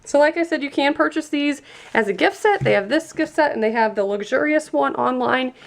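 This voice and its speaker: A woman's voice: high voice, expressive, brisk, creaky. A woman reviewing and unboxing a gift set in a chatty, enthusiastic way, sounding stuffy and congested from a cold. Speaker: product reviewer.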